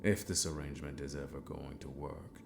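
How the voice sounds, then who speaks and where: Man acting a very deep, whispery villainous sorcerer. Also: level tone, background noise. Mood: smug, chiding. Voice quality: breathy, half-whispered, gravelly. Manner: slow, deliberate, formal, condescending. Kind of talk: ASMR fantasy audio roleplay.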